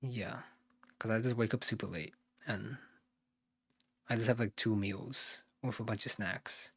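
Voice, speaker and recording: A man's voice: lowish voice, steady tone, measured pace, slightly rough, dry recording. A man from the United States working through math questions live, thinking aloud, muttering and correcting himself as he goes. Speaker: SAT tutor streaming live.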